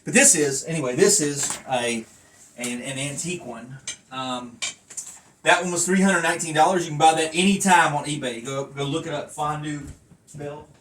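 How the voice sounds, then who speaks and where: A man's voice: on the high side, moderate pace, fairly bright tone, slight background noise. A man giving a casual, unscripted collector's review, thinking aloud and wandering off into asides. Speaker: collector reviewing a wrestling belt.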